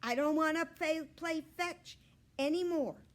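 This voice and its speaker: A woman voicing a small, polite and eager pet hamster in a squeaky, earnest storybook voice. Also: unhurried. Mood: pleading.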